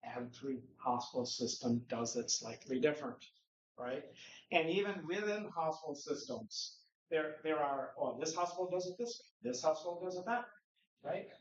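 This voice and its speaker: Conference speaker: man, slightly high voice, posing rhetorical questions, picked up by a distant mic in a roomy hall. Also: animated, normal speed, a little hiss or hum. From Norway. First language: Norwegian. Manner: conversational lecturing with rhetorical questions. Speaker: conference presenter. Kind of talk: conference presentation. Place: conference room. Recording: echoey room, distant mic, dull sound.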